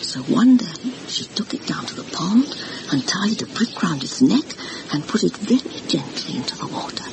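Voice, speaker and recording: A woman's voice: medium pitch, big pitch swings, moderate pace, creaky. A woman playing an anxious, gossipy older housekeeper in a vintage radio horror drama, confiding and deferential as she tells her story dramatically. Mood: sorrowful, confiding. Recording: noisy vintage radio recording.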